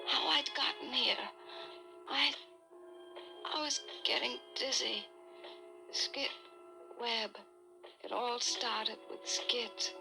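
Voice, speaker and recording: An actress playing a wealthy, desperate and haughty wife in an old radio suspense drama, speaking with dramatic intensity. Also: female voice, on the high side, creaky. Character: desperate, haughty wealthy wife narrating her own crime. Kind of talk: 1940s radio suspense acting, first-person narration. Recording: vintage radio recording with dramatic music behind.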